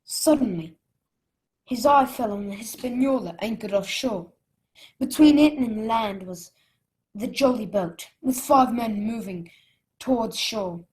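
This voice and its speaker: An earnest young boy adventurer, played by a woman in a radio drama. The voice is high and youthful, telling the tale as a story and speaking up as its young hero. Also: a little slow, a little mellow, close to an everyday voice, low-quality recording. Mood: earnest, suspenseful. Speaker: narrator and lead character.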